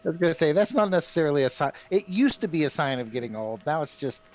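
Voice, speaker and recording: A man's voice: a little high, sing-song, quick pace. A man in his fifties hosting a casual chat while painting, full of self-mocking jokes and banter. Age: 50s. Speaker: stream host.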